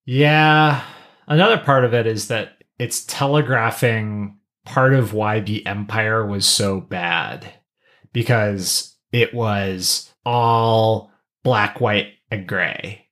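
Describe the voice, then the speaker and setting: A mid-pitched podcast co-host talks casually about pop culture. The delivery is explanatory, the kind that walks a listener through a point and drops in playful, acted-out asides. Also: takes it slowly, fairly bright tone.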